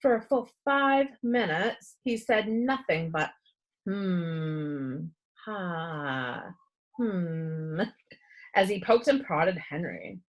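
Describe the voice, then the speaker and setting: Husky, animated woman reading a storybook. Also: on the high side, unhurried, hardly any pauses. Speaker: storyteller reading a children's book aloud. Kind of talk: expressive read-aloud narration.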